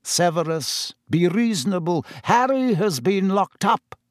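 A man's voice: sits high, lively intonation, measured pace, no echo, studio quality, pitched above the performer's own voice. A man voicing a blustering middle-aged male official who barks his words. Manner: barking, blustering.

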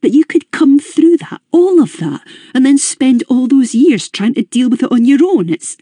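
A woman reading an audiobook, voicing a caring man who speaks in a halting, emotional way. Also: high voice, expressive, warm tone, slightly rough, dry recording, clean studio sound, full character voice. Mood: indignant. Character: earnest caring man, angry on her behalf.

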